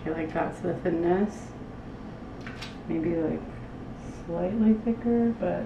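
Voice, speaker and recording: A woman with a fairly low voice talks through her cooking in a loose, halting, casual way. She is some distance from the mic, so the kitchen's echo comes through clearly around her words. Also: highs cut off. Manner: loose, halting chat with frequent "like". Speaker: cooking show host. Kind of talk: casual cooking demonstration, talking while working. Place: small indoor kitchen with tiled floor. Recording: roomy, distant mic with loud room noise.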